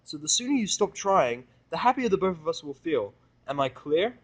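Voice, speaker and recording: A man's voice: fairly high pitch, big pitch swings, moderate pace, pitched above the performer's own voice. A man voicing a hostile, sarcastic human who snaps and rants angrily in a fan audio drama. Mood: furious. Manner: snappish, ranting, swearing. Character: bitter, hostile, sarcastic human male misanthrope.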